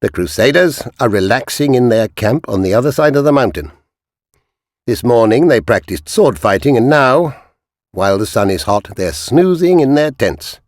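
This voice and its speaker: A man reading a storybook aloud, voicing a cunning male spy calmly reporting to his captain. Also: medium pitch, moderate pace, no echo, clean studio sound, pitched above the performer's own voice, natural voice.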